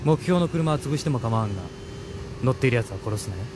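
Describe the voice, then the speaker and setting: An actor playing a confident, ruthless security police major in an audio drama, leisurely, smug and commanding. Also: male voice, mid-range voice, expressive, quick pace, noisy background, close to an everyday voice. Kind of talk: Japanese audio drama acting. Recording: loud music behind.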